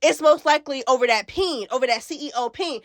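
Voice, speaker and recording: A woman with a stuffy nose from a cold hosting a casual gossip recap, chatty and animated, full of slang. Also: high voice, fast talker. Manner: chatty, animated, slangy, calls listeners girl and baby. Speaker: host of a reality TV gossip channel.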